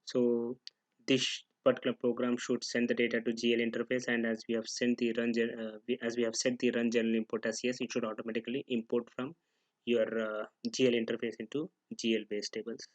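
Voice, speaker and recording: A man giving an unscripted, step-by-step software tutorial, halting and pausing mid-sentence as he goes. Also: medium pitch, level tone, no room sound.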